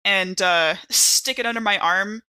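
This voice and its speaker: A woman's voice: mid-range voice, a little slow, pushed and bright, smooth, dry recording. A woman playing a tabletop role-playing game, chatty and casual, improvising her moves and thinking aloud.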